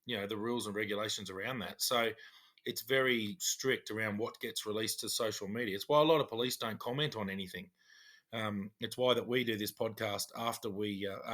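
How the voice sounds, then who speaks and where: A middle-aged man, a former cop co-hosting a podcast, explaining things in a measured way. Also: on the low side, sharp tone. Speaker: podcast co-host, former police officer. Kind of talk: casual chat.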